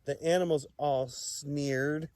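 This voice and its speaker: A man reading a children's story aloud in a playful, expressive way, with chatty asides and exclamations. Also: fairly high pitch, slow, dry recording, clean studio sound.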